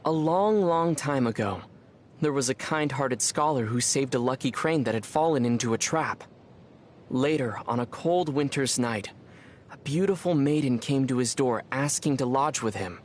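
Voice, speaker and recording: A man voicing a calm, wry young adventurer in scripted game dialogue, speaking in a measured, articulate way. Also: mid-range voice, a little hiss or hum, close to an everyday voice. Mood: storytelling.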